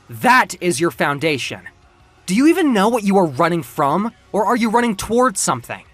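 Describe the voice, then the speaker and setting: A man playing an angry, domineering former friend in an audio comic drama, scolding and forceful. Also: high-pitched, pitched above the performer's own voice, exaggerated voice.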